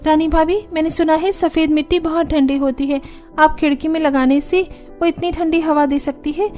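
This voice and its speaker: Very high, keen young girl, a woman acting. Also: steady tone, moderate pace, darkish tone, faint music behind, close to an everyday voice. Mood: keen, curious. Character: young girl sister-in-law, bright-eyed and complaining. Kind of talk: Hindi cartoon story dialogue.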